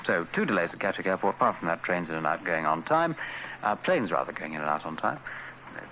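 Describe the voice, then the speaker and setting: A man's voice: deep voice, expressive, fast, bright, forceful tone, slight background noise, low-quality recording. A man giving a live radio travel bulletin in a hesitant, rambling way on an old tape. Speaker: radio travel news presenter.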